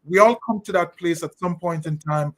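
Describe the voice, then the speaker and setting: Interview guest: Jamaican man, middle-aged, slightly higher pitch, deliberate and thoughtful delivery. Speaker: interview guest, leadership consultant.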